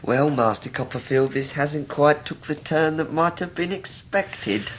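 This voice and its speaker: An actor playing an oily, falsely humble scheming young clerk in a period radio drama, with a wheedling, sanctimonious manner. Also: male voice, a little high, a little slow, noisy background, lightly acted. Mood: smug.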